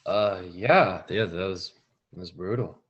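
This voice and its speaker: A man's voice: sits low, unhurried, slightly rough, lo-fi sound. A man playing a guarded, wry teenage boy at a script read-through, hesitant and understated. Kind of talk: table read of a film script over video call. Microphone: video call.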